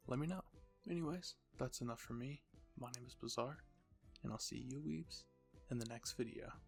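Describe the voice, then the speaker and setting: A man narrating scripted fan fiction as the host, with a gravelly, husky voice. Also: medium pitch, moderate pace, faint music behind.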